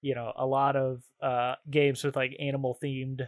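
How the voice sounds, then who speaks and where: A man's voice: medium pitch, level tone, moderate pace. A man hosting a podcast, chatting casually about video games in an informal, slangy, easily amused way. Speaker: podcast host.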